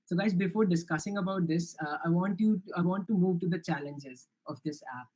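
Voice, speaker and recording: Young Indian man demoing software. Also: high-pitched, fairly quick, low-quality recording. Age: young adult. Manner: earnest, many restarts and "uh" fillers, explanatory. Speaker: student developer presenting his project. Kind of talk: live project demo with Q&A.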